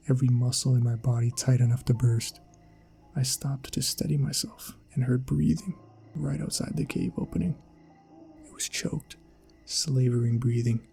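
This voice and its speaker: Male horror narrator for scripted ASMR creepypasta: breathy and rough, dark mellow tone, suspenseful read, music bed. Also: mid-range voice. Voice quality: husky, gravelly. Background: background music.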